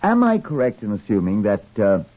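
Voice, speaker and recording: A man playing a methodical, polite police chief superintendent who questions formally with hesitant pauses, in old-time radio crime drama style. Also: lowish voice, huge pitch swings. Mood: probing. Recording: dull lo-fi old radio recording.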